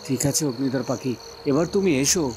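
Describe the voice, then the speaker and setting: A man's voice: medium pitch, normal speed, noisy background, close to an everyday voice. Man voicing an earnest young fairy tale prince. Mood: earnest, protective. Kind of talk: Bengali fairy tale cartoon dubbing.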